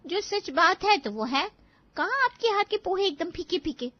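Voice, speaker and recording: Woman acting high-pitched cheeky college girl. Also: very animated, normal speed, lo-fi sound. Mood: gushing boastful. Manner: gushing, dismissive, cheeky. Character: cheeky, spoiled college-age sister-in-law who loves snacks. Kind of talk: animated family story acting.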